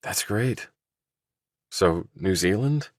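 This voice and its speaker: A man's voice: low-pitched, expressive, slow pace, dry recording, studio quality, pitched above the performer's own voice, heavily acted. A man voicing a guarded, polite young man in audiobook dialogue.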